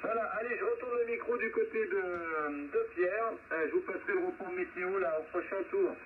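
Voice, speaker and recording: A high-voiced man comes through a narrow, tinny two-way radio link. He runs a ham radio round table in a methodical, chummy way, speaking into a hand mic over light noise. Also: fairly quick. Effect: two-way amateur radio transmission. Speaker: amateur radio net host. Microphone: handheld radio microphone.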